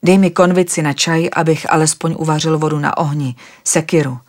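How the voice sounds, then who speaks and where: A woman's voice: low voice, fairly even intonation, natural voice. A woman reading aloud, voicing a tired, agitated man who fires off indignant questions in a raised voice. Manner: loud, exasperated questioning.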